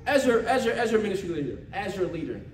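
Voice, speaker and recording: Male American preacher, notably high voice, conversational and exhortative, seeks agreement. Distant mic in a reverberant room. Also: sing-song, quick pace. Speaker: church ministry leader preaching a sermon. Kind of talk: informal motivational sermon with call-and-response. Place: church meeting room with responding congregation. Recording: echoey room from far off, faint noise.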